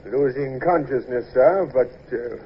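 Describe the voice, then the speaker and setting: Male actor as naval surgeon, grave, deferential, measured, medium pitch, radio drama, dull old recording. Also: slight background noise, close to an everyday voice.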